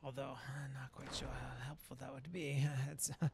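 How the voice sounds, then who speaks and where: A man roleplaying a hazy-minded dark elf shaman in a video game, muttering and rambling hesitantly as he muses aloud. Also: medium pitch, flat delivery, normal speed, creaky, close to an everyday voice. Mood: musing, hesitant. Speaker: player roleplaying his game character. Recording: noisy background with game ambience.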